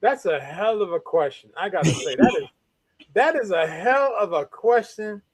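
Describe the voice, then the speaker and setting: A man co-hosting a casual talk show, speaking in a wry, measured way and thinking aloud. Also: sits high, animated, breathy edge, dry recording. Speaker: co-host.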